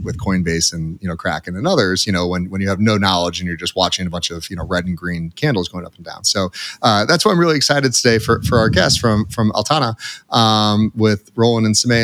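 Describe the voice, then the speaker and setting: A man's voice: on the low side, a little hiss or hum. A man hosting a podcast, talking loosely and rambling, repeating words and filling gaps with uhs. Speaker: podcast host. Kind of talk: casual podcast opening.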